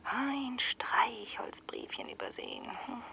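Sardonic, animated woman on a call. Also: a little high, slow, creaky, whispered, heavily acted. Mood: dryly mocking. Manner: dry, mocking, ironic. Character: sardonic, teasing workplace confidant on the phone. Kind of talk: naturalistic radio drama acting.

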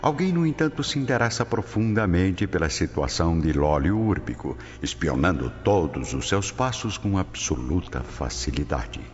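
A man with a Brazilian accent narrating a scripted radio drama in a dramatic style. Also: deep voice. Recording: muffled, long reverb, music behind.

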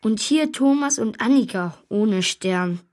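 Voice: mid-range voice, lively intonation, a little slow, darkish tone, soft background music, natural voice. A young performer playing a bewildered, polite and curious schoolboy in a children's radio play, hesitant and natural.

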